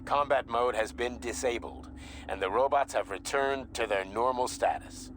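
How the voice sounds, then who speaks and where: An actor playing a paternal, authoritative older scientist in a video game, speaking with composure. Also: male voice, mid-range voice, normal speed, a bit bright, a little hiss or hum, close to an everyday voice. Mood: proud, composed. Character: fatherly, authoritative older male scientist in command. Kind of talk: scripted video game dialogue.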